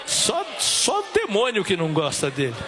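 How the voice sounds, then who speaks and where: A hoarse, high-pitched pastor preaching in a church hall. He repeats his phrases with fervor, calling out to the congregation and rising to shouts at the peaks. His worn voice strains but keeps pushing through. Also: huge pitch swings, moderate pace, noisy background. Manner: fervent, repetitive call-and-response, shouting at peaks. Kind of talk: church service preaching and prayer.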